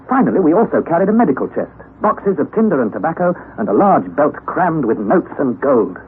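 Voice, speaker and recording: An actor playing an earnest young nephew, narrating an old-time radio drama in a measured, precise, emphatic way. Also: male voice, mid-range voice, lightly acted. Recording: noisy, tinny vintage radio recording.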